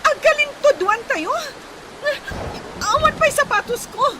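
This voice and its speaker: A woman's voice: very high-pitched, expressive, unhurried. A woman playing a frightened, complaining, anxious character in a Philippine radio drama. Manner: anxious, questioning. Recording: very noisy, loud storm effects.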